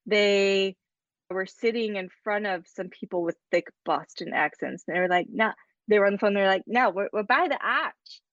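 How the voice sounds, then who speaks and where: A woman's voice: mid-range voice, normal speed, a bit bright, no room sound, muffled recording. Chatty woman guest telling stories. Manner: laughs often, storytelling. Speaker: podcast guest, scientist. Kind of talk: casual chat.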